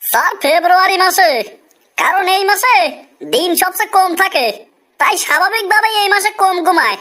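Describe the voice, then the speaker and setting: Cartoon candidate: extremely high, sharp bright voice, courteous, self-assured, comic. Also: female voice, expressive, normal speed, full character voice. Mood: confident. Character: polite, confident, quick-witted cartoon job candidate.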